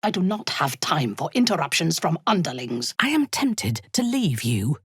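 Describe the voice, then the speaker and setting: A ruthless, commanding female dark elf for a fantasy game. Her voice is husky and mostly low, with wide, dramatic swings in pitch. She speaks formally and imperiously, with a vengeful edge. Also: big pitch swings, moderate pace, dry recording, studio quality, heavily acted. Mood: cold, imperious. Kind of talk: fantasy video game voice acting.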